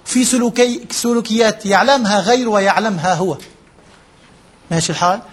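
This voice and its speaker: A man's voice: high-pitched, a little fast. A man leading a workshop, explaining step by step, checking in with his listeners and mixing English terms into his talk. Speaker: workshop trainer. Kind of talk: interactive lecture to a live group.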